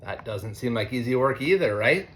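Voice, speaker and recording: An American man, a teacher, reads a picture book aloud to children in an expressive way, with conversational asides. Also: mid-range voice, a little hiss or hum. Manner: expressive, deliberate when reading, conversational in asides. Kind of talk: read-aloud storytelling with chatty asides to children.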